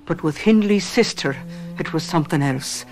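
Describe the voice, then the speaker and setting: A watchful, caring housekeeper in a radio drama. She tells the household's story in a plain-spoken storytelling manner, with soft music faintly underneath. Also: sits low, lively intonation, moderate pace. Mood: reflective. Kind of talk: radio drama narration and acting.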